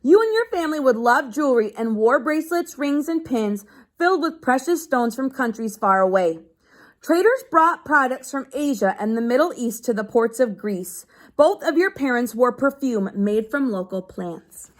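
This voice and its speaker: A woman reading a children's book aloud like a teacher, pausing to explain things simply as if to young pupils. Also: high-pitched, animated. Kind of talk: read-aloud lesson with simple explanatory asides.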